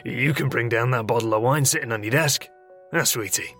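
A man's voice: mid-range voice, brisk, fairly bright tone, soft background music, close to an everyday voice. A man with a British accent playing a crude, sarcastic, cowardly hotel guest in an audio drama. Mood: flirtatious. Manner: sneering, sarcastic, swearing.

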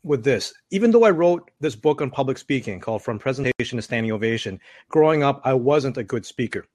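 Man, from Canada, deep voice, measured, dry close sound. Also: expressive, darkish tone, dry recording. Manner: measured, explanatory. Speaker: author and public speaking coach. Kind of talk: interview guest.

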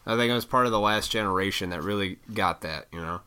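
Froggy-throated man ranting, low forceful voice. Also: fast talker, slight background noise. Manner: profane, opinionated, rambling. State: froggy throat, clearing it often. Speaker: vlogger. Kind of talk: rambling casual rant to camera.

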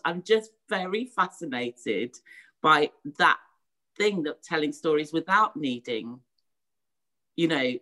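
Low-voiced woman moderating a panel. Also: fairly bright tone. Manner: enthusiastic, halting, with many restarts. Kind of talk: hosting a panel talk.

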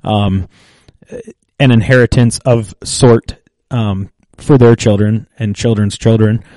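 Slow, halting man in a mellow voice. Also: fairly low pitch, fairly even intonation, dry recording. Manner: thoughtful, halting, with restarts and fillers. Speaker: podcast co-host. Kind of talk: casual chat.

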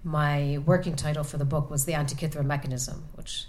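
A woman author answering audience questions about her novel, speaking thoughtfully with many pauses and restarts. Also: low voice, steady tone, darkish tone. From United States. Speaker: author at a reading. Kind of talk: book talk and audience Q&A. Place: event room with an audience.

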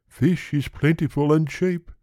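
A man's voice: on the high side, steady tone, unhurried, warm tone, no room sound, studio quality, voice pushed up, lightly acted. A man voicing a tiny, big-headed, lovelorn creature, earnest and wistful, pleading in storybook verse. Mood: eager wooing.

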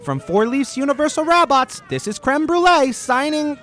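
A woman acts a rabbit TV reporter in a parody news broadcast for radio comedy. The voice is high and very animated, urgent and insistent, with overblown drama. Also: moderate pace, heavy background noise. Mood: formal sign-off. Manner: urgent, probing, overdramatic.

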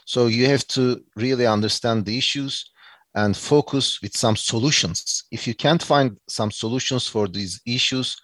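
A man's voice: mid-range voice, moderate pace, a bit bright, dry recording. A man from Turkey with a Turkish accent, giving earnest, reflective answers as an interview guest, with many fillers and restarts.

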